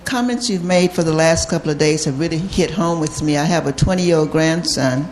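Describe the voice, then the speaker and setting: An older audience member sharing a personal comment at a microphone in a thoughtful, measured way, recorded live in a noisy room. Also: mid-range voice, darkish tone. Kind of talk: personal comment at a question session. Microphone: handed audience microphone.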